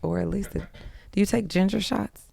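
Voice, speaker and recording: A woman's voice: mid-range voice, fairly even intonation, a little fast, gravelly, warm tone, breathy edge. A woman guesting on a podcast, chatting casually with slang and swearing. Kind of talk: casual chat.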